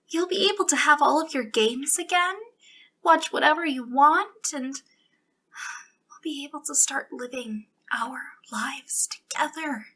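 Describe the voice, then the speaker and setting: A woman playing an obsessive, sweetly menacing female captor in an ASMR roleplay, speaking intimately in a breathy voice that slips into whispers. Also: high voice, fairly bright tone. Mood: possessive, coaxing. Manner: breathy delivery slipping into whispers, lingering pauses.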